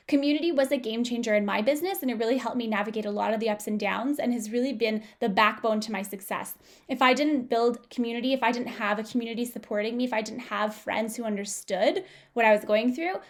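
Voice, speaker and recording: A young woman hosting a podcast, speaking with upbeat enthusiasm and laughing often. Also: fairly high pitch. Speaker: podcast host. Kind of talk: podcast promo and interview.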